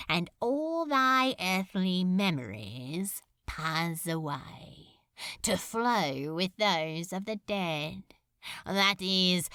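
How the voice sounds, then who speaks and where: A woman's voice: on the low side, a bit bright, no room sound, full character voice. A woman voicing a cold, bored male demon lord in a storybook reading, speaking dreamily and tauntingly in archaic phrasing.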